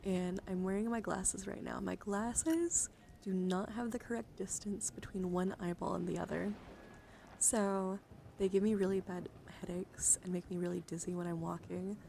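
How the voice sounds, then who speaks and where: A soft-spoken woman live streamer doing a casual ASMR card show-and-tell, chatting and often dropping into a whisper. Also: mid-range voice, moderate pace, vocal fry, slight background noise.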